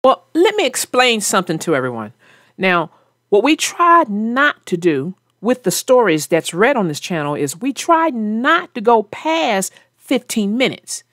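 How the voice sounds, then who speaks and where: A woman's voice: on the high side, very animated, a little slow, fairly bright tone, no room sound, studio quality. A woman hosting a children's read-aloud, narrating a storybook and chatting casually about it.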